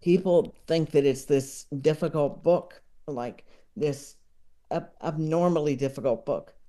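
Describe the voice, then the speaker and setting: Woman podcast guest just chatting, slow and mellow, kinda halting, rambles, really into her stuff. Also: low voice. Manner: halting, digressive, enthusiastic. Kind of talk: casual chat about books and comedy.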